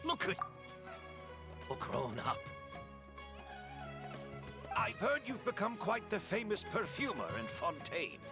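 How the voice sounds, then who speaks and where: An actor playing a kindly, modest older perfume master in a video game, with warm, humble, gentle speech. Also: male voice, high voice, sing-song, takes it slowly, long pauses, over music, exaggerated voice. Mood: delighted. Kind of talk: game voice acting.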